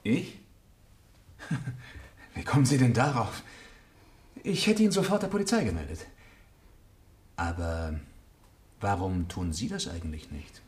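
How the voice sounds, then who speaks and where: A deep-voiced, smooth, calculating gentleman villain. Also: lively intonation, unhurried, pauses often. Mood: defensive. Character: smooth, calculating male murderer posing as a friendly gentleman. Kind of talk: German radio play acting. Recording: roomy sound with clear noise.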